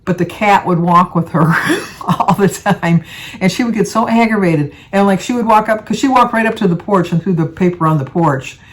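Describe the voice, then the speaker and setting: An older woman, in her seventies, speaks. Her voice sits at an average pitch for a woman. She talks casually as a vlogger would, drifting through small stories in a loose, conversational way. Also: normal speed, low-quality recording. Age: 70s. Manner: rambling, chatty storytelling. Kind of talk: casual chat to viewers.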